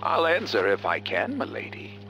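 A dutiful, dignified servant from a video game. He is formal and deferential in an expressive, bright voice, with faint music behind. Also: mid-range voice, normal speed, lo-fi sound, exaggerated voice. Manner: formal, obedient.

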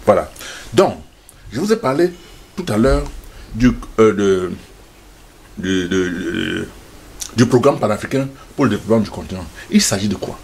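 A man's voice: on the low side, animated, normal speed, gravelly, pauses often, darkish tone, a little hiss or hum. Emphatic older man in a TV studio. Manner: emphatic, gestures constantly, stammers repeated words. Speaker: studio guest. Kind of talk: passionate studio debate.

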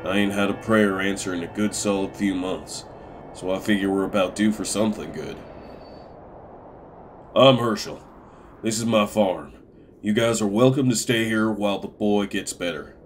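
A man's voice: on the low side, moderate pace, slight background noise, close to an everyday voice. A man playing a stern, plain-spoken older farm patriarch in a comic dub. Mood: calm, grave.

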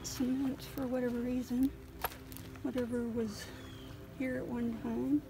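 A woman's voice: a little high, flat delivery, measured pace, noisy background. A woman vlogging outdoors on a lakeshore beach, musing aloud to her viewers as she looks for rocks on a handheld phone. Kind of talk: casual narration while rockhounding.

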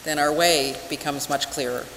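An older woman preaching a sermon as a minister, measured and deliberate with emphatic pauses. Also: sits low, fairly even intonation, fairly bright tone. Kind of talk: church sermon. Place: large wooden church sanctuary, at the pulpit. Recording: echoey church hall with hiss.

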